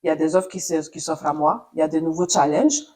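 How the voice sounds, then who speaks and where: A woman's voice: deep voice, steady tone, fast talker, dark tone. A woman born in Italy, an Italian speaker, telling her career story as a radio journalist in an interview, thoughtful with many fillers.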